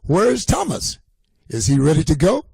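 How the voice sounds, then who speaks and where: Man reading as a patient, mellow grandfather. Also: a little high, wildly swooping pitch, normal speed, creaky, breathy edge, no room sound, pitched above the performer's own voice, full character voice. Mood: cheerful. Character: kindly, wise grandfather, cheerful and patient.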